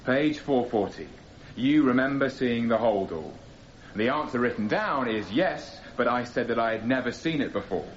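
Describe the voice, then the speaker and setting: An actor playing a young radical defendant who questions coolly and insistently in a radio courtroom drama. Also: male voice, medium pitch, animated, lightly acted. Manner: deliberate, insistent, probing. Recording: dull radio recording with clear noise.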